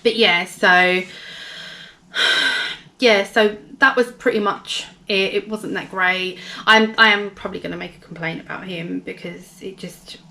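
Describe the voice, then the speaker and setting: A woman with a hoarse, gravelly voice telling a personal story in a casual vlog, rambling with many fillers and restarts. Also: mid-range voice, steady tone, measured pace, bright, forceful tone, rough recording. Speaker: vlogger.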